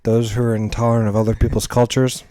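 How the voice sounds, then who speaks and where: A man co-hosting a podcast in casual chat, with a joking, deadpan teasing manner. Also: fairly low pitch, fast, no room sound, studio quality. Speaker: podcast co-host. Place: indoor room shared with co-host.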